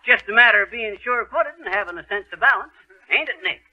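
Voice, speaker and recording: A man's voice: sits high, animated, quick pace. A man playing a boastful, folksy American husband full of tall tales in a 1930s radio comedy. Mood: cocky, folksy. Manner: folksy, bragging, alliterative patter. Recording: dull, noisy 1930s radio recording.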